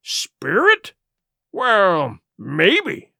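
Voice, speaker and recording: Guy doing a scornful pirate boss for an audiobook, rough sailor talk, forceful n swinging up and down. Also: sits high, wildly swooping pitch, extremely slow, no room sound, studio quality, full character voice. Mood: reasoning, sceptical. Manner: rough seafaring speech, emphatic. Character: defiant, cunning male pirate ringleader, bold and scornful.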